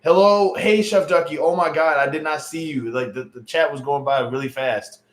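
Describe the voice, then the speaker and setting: A man's voice: on the high side, normal speed. A man in his late thirties hosting a casual livestream, chatting loosely with viewers and joking about their comments. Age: late 30s. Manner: loose, chatty, joking with chat, lots of fillers. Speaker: livestream host. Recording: roomy echo on a home stream mic.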